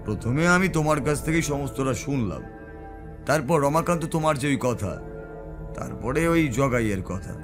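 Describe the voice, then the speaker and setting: Male actor as wandering mystic sage, medium pitch, reflective audio-story delivery, unhurried manner, background music. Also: animated, close to an everyday voice. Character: wandering male tantric sage, calm storyteller recounting uncanny tales. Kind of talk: dramatized Bengali audio story narration.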